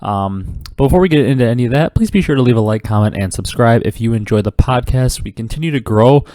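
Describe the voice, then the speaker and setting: A man's voice: medium pitch, fast, dry recording, studio quality. A young man in his twenties with a cold and a congested voice, hosting a casual solo esports podcast full of fillers and rambling asides. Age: 20s. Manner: rambling, filler-heavy, excited esports talk. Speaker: podcast host.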